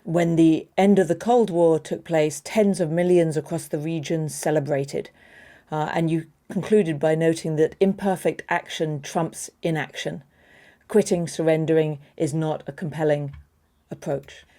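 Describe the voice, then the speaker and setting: A woman moderating a policy debate, summarizing arguments and posing questions in a measured way. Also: deep voice, fairly even intonation, mellow.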